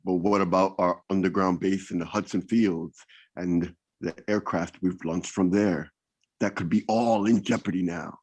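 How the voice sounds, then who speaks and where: A man's voice: low voice, fairly even intonation, moderate pace, darkish tone, slightly rough. A man playing a young, agitated junior agent, reading in an amateur readers theater over a video call. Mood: worried. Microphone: video or conference call.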